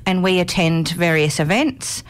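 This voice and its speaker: A woman with a slightly low voice answers questions in a radio studio. She speaks earnestly and at a measured pace, often pausing on an um. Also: animated. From Australia. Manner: earnest, measured, frequent ums. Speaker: guest, commission chair. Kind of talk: radio interview.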